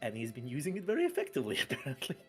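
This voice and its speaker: A man doing play-by-play commentary on a fighting game, excitable and bursting loud at big plays. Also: medium pitch, very fast, breathy edge, faint music behind. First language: not French. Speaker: esports commentator.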